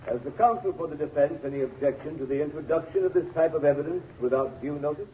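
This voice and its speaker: An actor playing a stern judge, measured and commanding, in formal 1930s radio style. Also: male voice, a little high, natural voice. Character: stern, authoritative male judge. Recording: dull, noisy 1930s radio recording.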